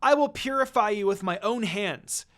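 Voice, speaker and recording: A storyteller voicing a bold, protective teenage girl who speaks defiantly and forcefully in a story reading. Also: medium pitch, expressive, moderate pace, pitched above the performer's own voice, lightly acted. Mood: urgent, warning. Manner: forceful, warning.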